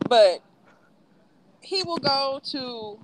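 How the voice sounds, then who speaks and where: Woman, high pitch, casual chatty storyteller, faint background noise. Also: animated, extremely slow. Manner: storytelling, acts out quoted voices. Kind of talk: casual chat.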